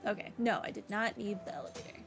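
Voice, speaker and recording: A woman's voice: medium pitch, quick pace, gravelly, music in the background. A woman giving casual, chatty commentary on her video game playthrough, with wry asides. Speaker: gaming streamer, let's player.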